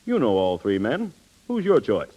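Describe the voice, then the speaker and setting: An actor playing a brisk, fatherly older male company president in a 1930s radio drama. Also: fairly low pitch, wildly swooping pitch, warm tone, breathy edge, lo-fi sound, full character voice. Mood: brisk, musing.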